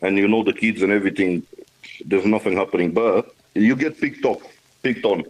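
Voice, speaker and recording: A man in his thirties with a Romanian accent, speaking in a halting, plain-spoken way as an interview guest. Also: on the low side, low-quality recording. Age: 30s. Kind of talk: casual interview talk.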